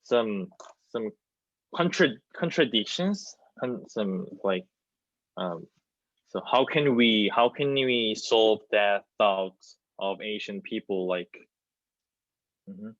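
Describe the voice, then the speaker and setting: A man's voice: lowish voice, expressive, takes it slowly, pauses often, a bit bright. A young man, a native Korean speaker with a Korean accent, talking hesitantly on a panel and searching for his words over a video call. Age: young adult. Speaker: student playwright, panelist. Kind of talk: casual panel discussion. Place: plain room, indoors. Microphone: video call, wireless earbud worn. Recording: roomy, dull video-call audio.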